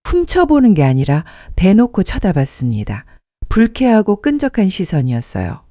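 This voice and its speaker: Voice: mid-range voice, huge pitch swings, moderate pace, warm tone, dry recording. A narrator reading a scripted dramatic story as a storyteller. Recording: muffled dry studio sound.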